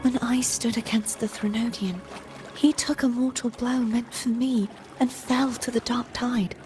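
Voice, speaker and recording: High-voiced, earnest young woman knight played by an actress. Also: fairly even intonation, unhurried, a little mellow, natural voice. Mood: wistful, sorrowful. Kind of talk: video game character dialogue. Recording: music behind the voice.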